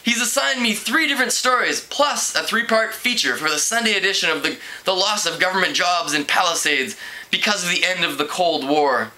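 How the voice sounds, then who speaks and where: Man reading as a griping, bright-voiced overworked reporter. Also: sits high, a little fast, pitched above the performer's own voice, rough recording. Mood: exasperated. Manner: informal, grumbling. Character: harried, overworked male newspaper reporter, casual and griping.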